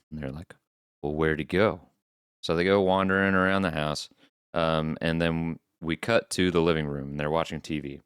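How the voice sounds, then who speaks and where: A man with a very deep, smooth voice talks as a podcast co-host. He explains things casually and a little haltingly, in a dry, close-sounding recording. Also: fairly bright tone, no room sound. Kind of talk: casual recap of horror videos.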